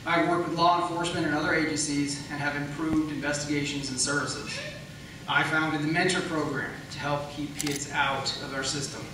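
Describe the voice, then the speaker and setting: A man's bright, fairly high voice rings out in a very reverberant room, picked up at a distance from the mic. He speaks as a candidate in a political debate, pressing his points in an emphatic way. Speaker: political candidate, incumbent county attorney. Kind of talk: campaign debate speech. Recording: echoey room, distant mic, faint noise.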